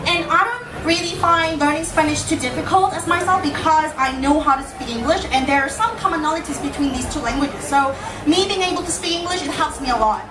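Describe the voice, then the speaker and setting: High-voiced Korean-accented woman speaking, echoey room. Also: a little fast. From South Korea. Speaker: public speaker, language teacher. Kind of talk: informal live talk to an audience. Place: indoor venue in front of an audience. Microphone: camera at a distance. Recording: echoey room, distant camera mic, noisy.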